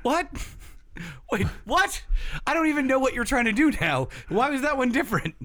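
A man's voice: extremely high pitch, very animated, normal speed, sharp tone, dry recording. A man co-hosting a podcast, teasing and incredulous in casual banter. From Ireland. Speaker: podcast co-host.

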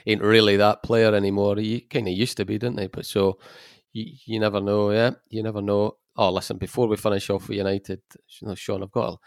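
A man's voice: lowish voice, flat delivery, a little fast, few pauses, dry recording, studio quality. A man hosting a casual football podcast, jokey and prompting his co-hosts.

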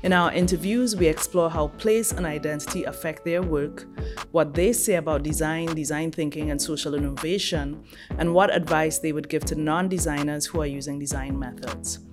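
A woman with a low voice opens a podcast, reading her scripted introduction in a measured, deliberate way. Clear music plays underneath her words. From Trinidad and Tobago. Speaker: podcast host and design professor.

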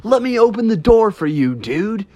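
A man's voice: high-pitched, big pitch swings, moderate pace, a little mellow, dry recording, voice pushed up. A man voicing a friendly, easygoing surfer-dude ghost in a children's story. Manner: easygoing, calls everyone dude.

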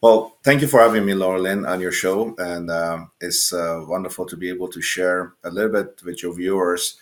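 Middle aged guy, deep voice, pastor as a guest telling his story, kinda halting, lots of pauses. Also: fairly even intonation. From Iran. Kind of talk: conversational personal account.

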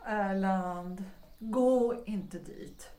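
A woman's voice: mid-range voice, dark tone, natural voice. An actress playing an earnest, devoted woman in a period audio drama, speaking with emotional, pleading concern for a reckless lover. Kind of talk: period stage-play audio drama acting in Swedish. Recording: roomy, echoing sound.

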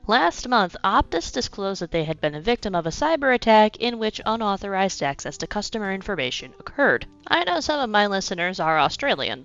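A woman's voice: medium pitch, fairly bright tone, clear, resonant tone, dull audio. A young woman hosting a podcast, narrating a script with a slightly congested voice from a cold and dropping in wry asides. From United States. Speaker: podcast host.

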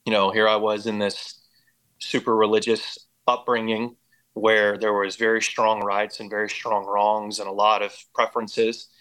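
A man's voice: fairly low pitch, little pitch movement, normal speed, fairly bright tone, clear, resonant tone, low-quality recording. An American man giving personal testimony as an interview guest, speaking in an earnest, reflective way. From Indiana and Oklahoma, United States. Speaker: podcast guest.

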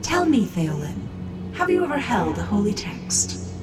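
A woman voicing a calm, confident tech-priestess who leads a cult. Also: medium pitch, a little slow, echoey room, over loud music. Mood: imperious. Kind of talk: fan audio drama acting.

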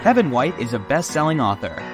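A male announcer reading a scripted show intro, booming and hyped. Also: sing-song, brisk, over music. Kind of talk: scripted promo voice-over.

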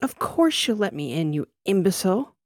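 A man voicing a drunken female socialite who slurs and wheedles in a high voice. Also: sing-song, no room sound, clean studio sound. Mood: drunk pleading. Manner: slurred, wheedling, drawn-out words. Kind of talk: audiobook character voice.

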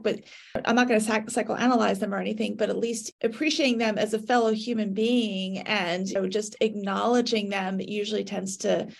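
A woman on a discussion panel, talking quickly and laughing now and then. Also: medium pitch, creaky. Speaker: panelist, YouTuber.

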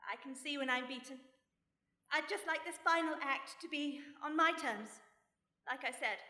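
Lady sleuth for radio play: high, bright, wry and unflappable, echoey stage sound. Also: normal speed. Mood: cool, resigned. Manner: breezy, coolly polite, wry. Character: plucky, unflappable, wry lady detective, a mother. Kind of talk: theatrical radio play acting. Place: stage before a live audience. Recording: echoey live stage recording.

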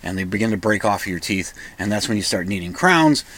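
A male radio host with a slightly croaky voice from a cold and a lozenge in his mouth, rambling casually and self-deprecatingly. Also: lowish voice, expressive, a little fast, fairly bright tone. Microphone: microphone into recording software.

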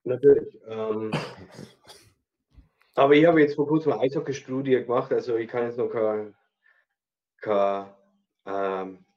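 A man co-hosting a spiritual podcast, speaking in measured, thoughtful interjections. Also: mid-range voice, fairly even intonation, highs cut off. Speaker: podcast co-host. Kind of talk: casual spiritual chat.

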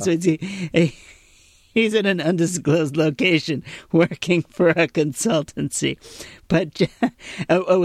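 Chatty, laughing man with a gravelly edge. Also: fairly high pitch, no room sound, clean studio sound. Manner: chatty, laughs often, restarts sentences. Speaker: podcast co-host. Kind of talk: casual podcast chat.

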